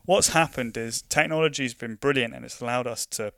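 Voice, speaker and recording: A man's voice: medium pitch, a little fast, pushed and bright, dry recording. Chatty London man, dry close sound. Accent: London English. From London, England. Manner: chatty, frequent restarts and "you know" fillers. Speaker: conservation project worker. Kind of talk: casual conversational interview.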